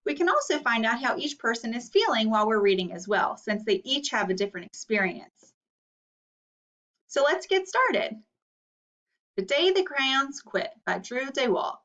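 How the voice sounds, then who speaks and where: Woman teacher, children's read-aloud lesson, instructional, high pitch, very lively intonation, dull distant recording. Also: normal speed. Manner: instructional, asking guiding questions. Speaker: elementary teacher.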